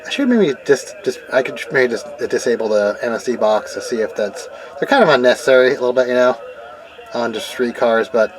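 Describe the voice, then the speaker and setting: A man's voice: medium pitch, expressive, fairly quick, a crowd in the background, rough recording. A man giving a casual hands-on demonstration, thinking aloud in a hesitant, self-doubting way as he works. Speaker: DIY car radio tinkerer narrating repair video. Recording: clear background noise, vehicle ambience. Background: vehicle ambience and noise.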